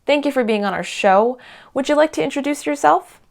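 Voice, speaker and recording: A woman's voice: high voice, lively intonation, fairly quick, vocal fry. A woman hosting the show, introducing and questioning her guest in an upbeat, welcoming way. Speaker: show host. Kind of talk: scripted interview introduction.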